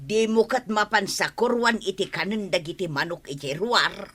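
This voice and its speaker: A woman's voice: medium pitch, moderate pace, a bit bright, husky. A woman playing an exasperated, hardworking wife in an Ilocano radio comedy drama, scolding and retorting with an Ilocano accent.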